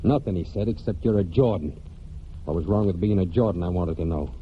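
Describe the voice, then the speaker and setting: An actor in a 1940s American radio drama playing a brooding young farm man and war veteran, speaking plainly and haltingly in rustic phrases. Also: sits low, slight background noise, close to an everyday voice. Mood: hurt, defensive. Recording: muffled 1940s radio broadcast with music.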